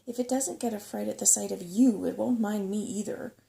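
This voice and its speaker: A woman's voice: mid-range voice, a little fast, gravelly, warm tone. A woman voicing a doubtful, questioning human boy in a storybook, with a sceptical way of talking. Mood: defiant.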